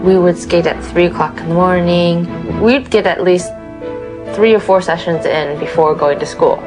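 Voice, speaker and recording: A woman's voice: on the low side, normal speed, rough recording. A woman being interviewed, thoughtfully recalling her family and childhood. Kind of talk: casual recollection. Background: music.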